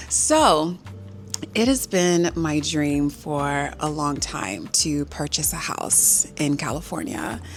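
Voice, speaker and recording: امرأة شابة ثرثارة بصوت منخفض النبرة. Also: female voice, sits low, takes it slowly, faint music behind. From Los Angeles, United States. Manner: chatty, giggly, animated storytelling. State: a little nervous. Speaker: vlogger. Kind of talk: casual talk to camera.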